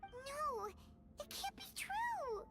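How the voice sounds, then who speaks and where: A woman voicing a small, excitable childlike companion creature in a video game, squeaky and dramatic. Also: very slow, soft background music, heavily acted. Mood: distressed disbelief. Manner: exclamatory, dramatic.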